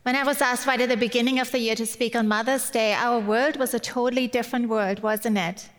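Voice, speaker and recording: German-accented woman preaching with hall reverb. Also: on the high side. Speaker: guest speaker. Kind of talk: Mother's Day sermon.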